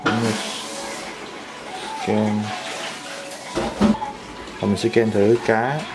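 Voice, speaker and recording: A young man with a fairly low voice talks through his meal prep in a home kitchen, relaxed and chatty, explaining each step. Loud background music plays under his voice. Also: extremely slow, low-quality recording. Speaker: vlog host. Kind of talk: casual cooking vlog narration.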